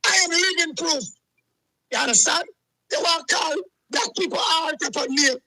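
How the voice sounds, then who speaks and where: Agitated man, high bright voice. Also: normal speed, creaky, no echo, poor audio. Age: 40s. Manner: agitated, repetitive, keeps saying "let me tell you something". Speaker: caller. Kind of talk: heated call-in rant.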